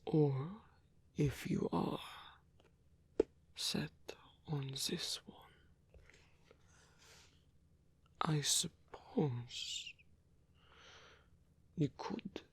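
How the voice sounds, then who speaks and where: A man playing a lonely, tender old vampire with a German accent in an ASMR roleplay, speaking softly and haltingly with formal phrasing. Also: fairly high pitch, no room sound, heavily acted. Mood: earnest, awkward. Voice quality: breathy, husky. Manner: hesitant, halting, formal phrasing, soft-spoken.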